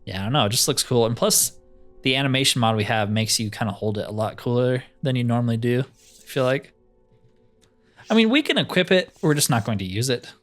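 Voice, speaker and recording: A man's voice: mid-range voice, lively intonation, fairly quick, soft background music. A man giving casual gameplay commentary in a laid-back way, musing to himself as he plays. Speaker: gaming streamer.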